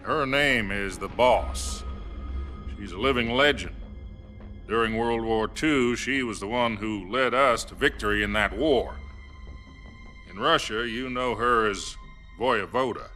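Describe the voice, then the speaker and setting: An actor playing an American president in a game cutscene, calm and placating. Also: male voice, lowish voice, measured pace, soft background music. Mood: explaining. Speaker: US president on a hotline call. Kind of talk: video game cutscene acting. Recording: game audio with faint score.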